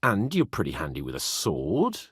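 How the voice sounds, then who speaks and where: A man's voice: deep voice, wildly swooping pitch, moderate pace, fairly bright tone, husky, no room sound, clean studio sound, full character voice. A man playing a cocky, haughty young medieval nobleman in a video game, sardonic, emphatic and brash. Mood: teasing, playful. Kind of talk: video game character dialogue.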